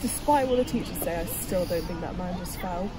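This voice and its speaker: An actress playing a mild, sentimental young friend in a naturalistic audio drama, speaking in a gentle, earnest way. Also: female voice, lowish voice, fairly quick, lightly acted. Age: young adult. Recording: outdoors, very noisy street ambience.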